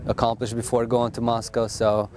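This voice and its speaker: Flat-voiced young army officer. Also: male voice, mid-range voice, normal speed. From born in Russia, raised in Texas. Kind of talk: casual interview answers.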